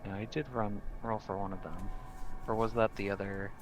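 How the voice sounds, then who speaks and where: A man's voice: fairly low pitch, little pitch movement, moderate pace, poor audio. A man playing a tabletop roleplaying game, chatting casually and a little tentatively about his character. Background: background music.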